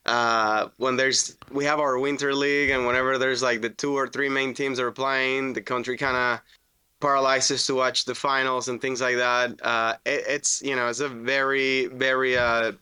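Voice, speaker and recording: A man in his thirties from Venezuela with a Venezuelan Spanish accent, telling his story as a podcast guest, relaxed with many fillers. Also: medium pitch, normal speed, clear, resonant tone, no echo. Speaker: guest, roofing business owner. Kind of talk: casual interview talk.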